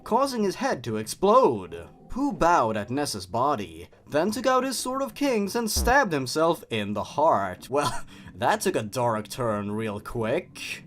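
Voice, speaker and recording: A man's voice: fairly high pitch, a bit bright. A man hosting a dramatic reading of a horror story, dropping in sarcastic, exasperated comments of his own. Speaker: host. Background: music.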